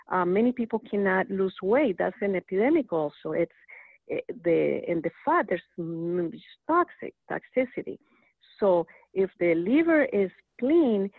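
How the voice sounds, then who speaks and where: A woman's voice: on the low side, creaky, dry recording. A woman from Rica, a guest talking earnestly and eagerly in a casual chat, often restarting her words. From Costa Rica. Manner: earnest, animated, with frequent restarts. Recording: tinny phone line, dry.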